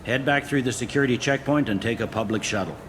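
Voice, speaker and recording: A man playing a gruff, pragmatic police captain on a space station, blunt and wry, in video game dialogue. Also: medium pitch, quick pace, noisy background, close to an everyday voice. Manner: blunt, wry, matter-of-fact.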